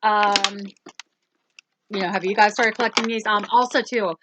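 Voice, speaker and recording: A woman's voice: medium pitch, fairly even intonation, moderate pace, noisy background. A woman hosting a casual doll review, rambling along with lots of ums and self-corrections. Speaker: toy review channel host.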